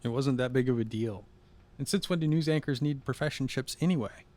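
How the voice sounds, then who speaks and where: A man's voice: mid-range voice, a little fast, darkish tone, close to an everyday voice. A man playing a wary, earnest newcomer in an audio drama, questioning and defensive. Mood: defensive, disbelieving.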